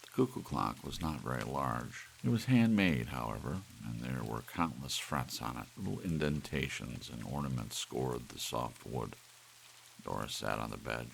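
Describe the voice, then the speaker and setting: A man narrating an audiobook in ASMR style, with soft rain behind him. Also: a bass voice, expressive, a little slow, creaky, slight background noise, poor audio.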